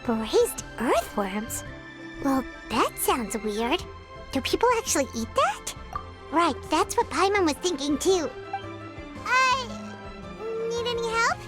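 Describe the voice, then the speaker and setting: Very high, squeaky little fairy companion. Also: female voice, sing-song, slow, heavily acted. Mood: curious, puzzled. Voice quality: squeaky, childlike. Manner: refers to self in third person. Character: small excitable floating fairy companion, childlike, blunt and curious. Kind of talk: animated video game voice acting. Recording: music playing behind the voice.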